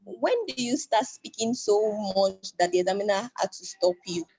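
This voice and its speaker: Woman student, mellow tone, polite and tentative on a video call. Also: mid-range voice, normal speed, no room sound, poor audio. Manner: polite, tentative, uses fillers.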